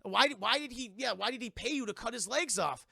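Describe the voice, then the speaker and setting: A man puts on a bewildered police detective in a told story. The questions come out halting and incredulous, high and forceful, with lively swings of pitch. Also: vocal fry, breathy edge, dry recording, clean studio sound, heavily acted.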